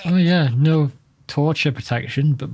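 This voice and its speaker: A man's voice: on the high side, unhurried, darkish tone. A man giving casual, joking let's play commentary on a video game.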